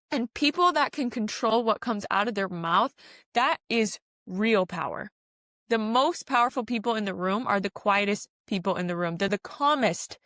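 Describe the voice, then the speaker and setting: A woman's voice: a little high, a bit bright, no echo. Woman podcaster, earnest and dry-sounding. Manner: earnest, exhortative, repeats phrases for emphasis. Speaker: podcast host. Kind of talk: spiritual self-help podcast monologue.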